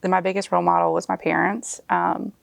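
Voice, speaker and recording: A woman from a small town in Alabama talks in an interview. Her voice is low and heavily gravelly, and it is recorded dry and close. She speaks thoughtfully and pauses often. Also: level tone, no echo. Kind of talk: interview to camera.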